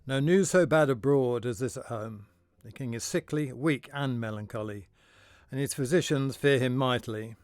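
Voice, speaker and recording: A man playing a gloomy, courtly lord in a Shakespearean audio drama, measured and theatrical. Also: mid-range voice, a little mellow, no room sound, close to an everyday voice.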